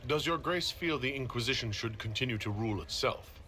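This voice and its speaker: A man's voice: mid-range voice, expressive, a little fast, pushed and bright, slight background noise. A man playing the composed, polite leader of an order in a fantasy video game, speaking plainly. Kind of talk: video game cutscene acting.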